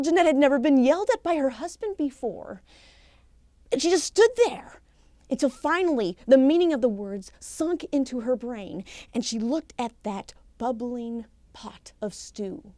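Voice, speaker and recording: A woman telling a folktale live as a professional storyteller, expressive and theatrical, with deliberate pauses and emphasis. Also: fairly high pitch, no echo. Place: live storytelling session at a conference.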